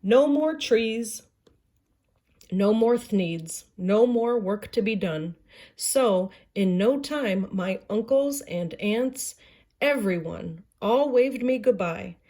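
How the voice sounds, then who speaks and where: A woman reads a children's story aloud in an expressive, dramatic way. She goes slowly at a middling pitch, lingering on the important words. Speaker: storybook reader.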